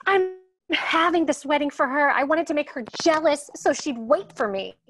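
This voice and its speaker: A woman's voice: high-pitched, animated, normal speed, fairly bright tone, rough recording. A woman reading the part of a tough, threatening female prison inmate at her own wedding, in a table read. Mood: menacing. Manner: bossy and threatening.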